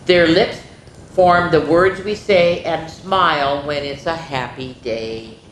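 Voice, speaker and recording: A woman with a low voice reads to children in an echoey room with a little background noise. She tells stories dramatically and breaks off for exclamatory, chatty asides to her young listeners. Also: takes it slowly. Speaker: children's story time host. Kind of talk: animated read-aloud storytelling with chatty asides to children. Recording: roomy echo, faint background noise.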